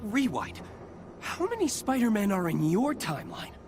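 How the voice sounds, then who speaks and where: A woman playing an inquisitive, excitable young superhero in a video game, with an incredulous, questioning manner. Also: mid-range voice, moderate pace, background noise. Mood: baffled, curious. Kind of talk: animated video game dialogue.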